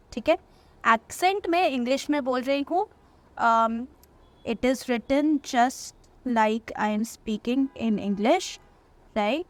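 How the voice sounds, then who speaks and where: A young woman teacher speaks high and unhurried, taking each point step by step and saying words twice. She keeps checking that the listener follows. The sound is close and dry, with no room in it. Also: no room sound. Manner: patient, explains step by step, repeats words slowly. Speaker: French teacher. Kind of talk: language lesson in Hindi-English mix.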